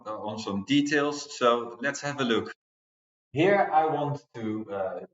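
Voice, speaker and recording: A man in his forties, an English speaker, giving a recorded math lecture at a whiteboard, explaining step by step with frequent fillers. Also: medium pitch. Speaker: university lecturer. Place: classroom with whiteboard, indoors. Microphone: far camera mic. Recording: dull, roomy classroom sound.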